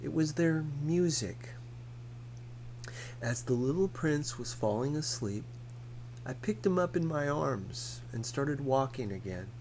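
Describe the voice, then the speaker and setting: Slow-paced man reading a literary audiobook. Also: a little high, lively intonation, creaky, darkish tone, slight background noise, rough recording. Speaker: audiobook reader. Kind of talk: scripted literary narration.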